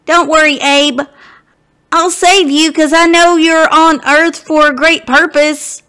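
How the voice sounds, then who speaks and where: A woman's voice: high voice, steady tone, slow, sharp tone, pitched above the performer's own voice, exaggerated voice. A woman voicing a loyal young frontier boy in a storybook, earnest and emphatic as he calls to his friend. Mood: earnest reassurance. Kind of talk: storybook character voice.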